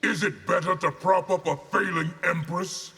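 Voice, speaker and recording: Very raspy, sneering villain. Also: male voice, high voice, steady tone, moderate pace, sharp tone, exaggerated voice. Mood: smug, threatening. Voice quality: raspy, gravelly. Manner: smug, sneering. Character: smug, scornful male criminal villain. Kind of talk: video game villain acting. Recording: reverberant game arena hall.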